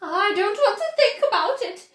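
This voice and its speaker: A woman's voice: very high-pitched, lively intonation, moderate pace, fairly bright tone, echoey room, pitched above the performer's own voice. A woman voicing a furious, indignant mother from a children's storybook, shrieking and snapping. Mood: shrieking, demanding.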